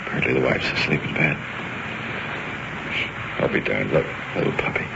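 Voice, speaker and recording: Loud noise and muffled vintage sound frame a very deep-voiced American man. He is a radio reporter on the scene, giving terse, clipped running observations. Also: moderate pace, gravelly. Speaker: show's reporter riding along with police. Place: out on a night police ride-along. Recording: muffled, very noisy 1950s radio field recording.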